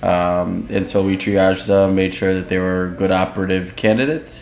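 A young man with a very low, flat voice answers questions about his hospital work. His speech is halting and laid-back, with frequent pauses, and a faint hum sits behind a dull, lo-fi recording. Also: gravelly. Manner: halting and laid-back, pausing often mid-sentence. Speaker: surgical resident. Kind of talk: interview answers. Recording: dull lo-fi sound with faint background noise.

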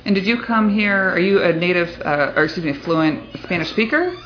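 A man's voice: high voice, a little fast, noisy background. A man hosting a podcast and interviewing his surgical team during a hospital mission, chatting in an upbeat, friendly way. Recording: dull lo-fi recording.

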